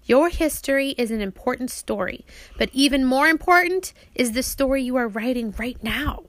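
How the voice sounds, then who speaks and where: A woman's voice: high voice, animated, normal speed, fairly bright tone, no room sound. A woman reading an audiobook as its author, explaining things simply, as if to young children.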